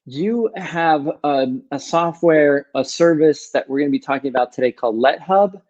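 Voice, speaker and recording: Upbeat male host in his 40s, slightly high voice, muffled lo-fi call audio. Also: moderate pace. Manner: upbeat, energetic, jokey. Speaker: podcast host. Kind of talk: casual video interview. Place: home room with bookshelves. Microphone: earbuds on video call.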